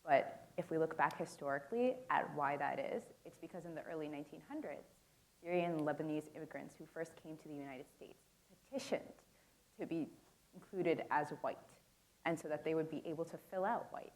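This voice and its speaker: A woman's voice: deep voice, brisk, darkish tone, poor audio. A young woman moderating a conference panel in a casual way, filling her talk with "like" and "um.". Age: young adult. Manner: casual, lots of "like" and "um" fillers. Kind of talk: panel discussion. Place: conference room. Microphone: handheld microphone.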